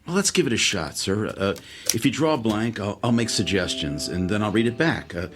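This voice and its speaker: An actor playing an earnest, deferential lieutenant in an audio drama, polite and tentative. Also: male voice, lowish voice, expressive, brisk, close to an everyday voice. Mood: eager, helpful. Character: deferential, earnest male army lieutenant and typist.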